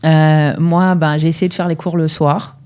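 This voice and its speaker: A woman's voice: low-pitched, level tone, normal speed, warm tone, clean tone. A woman from northern France in her thirties, a dance coach telling her story in a casual interview, hesitant with many fillers.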